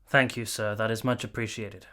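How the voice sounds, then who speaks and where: Actor as male army captain, lowish pitch, bright firm tone, earnest, protective, period radio play. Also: level tone, normal speed, slight background noise. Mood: respectful. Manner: earnest, formal, sincere. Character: earnest, devoted, principled young army captain, protective lover. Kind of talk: period radio drama acting.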